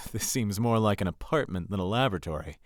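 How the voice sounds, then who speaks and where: A man's voice: fairly low pitch, animated, fairly quick, no room sound, clean studio sound, lightly acted. A man reading scripted story narration for a visual novel game.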